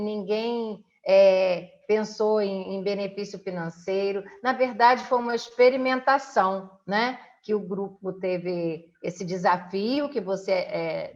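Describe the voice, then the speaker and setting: A Brazilian woman recounting things as an interviewer in a conversational, deliberate way, with frequent checking tags. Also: medium pitch, a little mellow, highs cut off.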